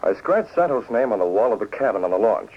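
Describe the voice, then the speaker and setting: An actor playing an assured, shrewd crimefighter in a 1940s radio drama. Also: male voice, lowish voice, big pitch swings, quick pace, slightly rough. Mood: explaining. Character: assured, shrewd male masked crimefighter and publisher. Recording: dull 1940s radio recording.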